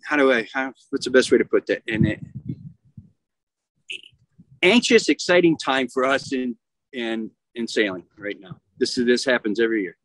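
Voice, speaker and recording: A middle-aged man, a yacht club commodore talking casually on a video call, often pausing and restarting as he searches for words. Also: medium pitch, a bit bright, no room sound, poor audio. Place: outdoors at a marina.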